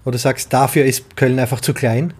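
A man with a somewhat husky voice asks the questions in a relaxed interview. His tone is friendly and easy, and he laughs along with his guest. He speaks plain standard German. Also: medium pitch, a little fast. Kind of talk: casual interview chat.

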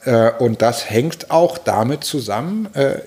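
A man in his forties from Germany, a pastor, thoughtfully telling personal stories, halting with many restarts and repeated words. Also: medium pitch, lively intonation, darkish tone. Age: 40s. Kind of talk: reflective personal storytelling.

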